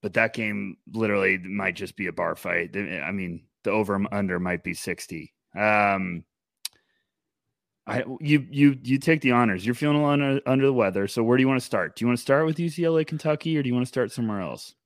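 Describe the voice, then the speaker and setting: A man co-hosting a casual sports podcast, talking in an energetic, animated way and rambling through restarts. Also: lowish voice, brisk, creaky, dry recording, clean studio sound. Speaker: podcast co-host.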